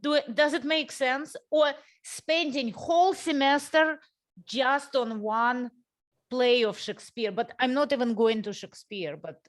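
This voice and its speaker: A woman who is not a native English speaker, hosting a reflective conversation in an earnest way, repeating phrases for emphasis. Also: sits high. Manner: slow, earnest, repetitive emphasis. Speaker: host.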